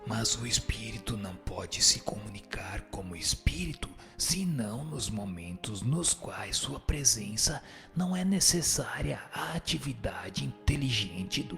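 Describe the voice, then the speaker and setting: A man playing a solemn spirit instructor in a scripted radio drama, answering in a measured, didactic, declamatory way. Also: fairly low pitch, vocal fry. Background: music.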